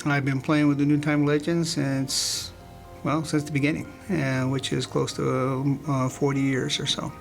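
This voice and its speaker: A man in his sixties introducing himself with hesitant pauses and fillers. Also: medium pitch, flat delivery, vocal fry, faint music behind. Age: 60s. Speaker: interviewee, university staff. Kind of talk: self-introduction on camera.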